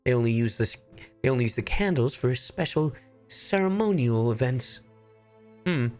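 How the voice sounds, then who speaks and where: Male streamer as calm game inquisitor, theatrical and intrigued, pondering aloud, light music behind. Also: mid-range voice, close to an everyday voice. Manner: slow, musing, theatrical. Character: calm, fascinated male priest detective, musing over clues. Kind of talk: acted-out game character impression.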